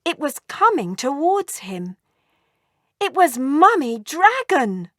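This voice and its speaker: A woman narrating a children's story aloud in an expressive, deliberate storytelling manner. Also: high-pitched, no room sound, studio quality.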